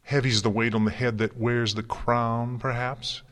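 A man's voice: medium pitch, little pitch movement, unhurried, a bit bright, voice pushed up, lightly acted. A man voicing a mad, gleefully malicious chaos creature in a storybook, theatrical, taunting and crooning. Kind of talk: dramatic storybook character reading.

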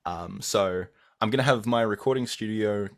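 A young adult man with an Australian accent, answering interview questions as an audio content creator in a measured, thoughtful way with frequent restarts. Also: on the low side.

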